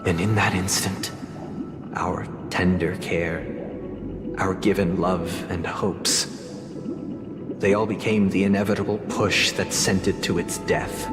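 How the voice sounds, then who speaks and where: An actor playing a solemn, courteous man in a video game, telling tragic tales in formal, measured, theatrical speech. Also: sits low, level tone. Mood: solemn, regretful. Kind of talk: scripted dramatic game monologue. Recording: echoey hall, loud game music behind.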